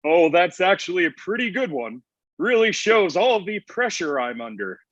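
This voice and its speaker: A man acts out a bro-talking Santa Claus for a comedy script reading. The voice is high and husky, with big swings in pitch and a casual, gruff edge. The sound is a little dull and lo-fi. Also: big pitch swings, normal speed, fairly bright tone, heavily acted. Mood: laid-back. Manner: casual slangy delivery. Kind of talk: comedy script table read. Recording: dull lo-fi online call audio.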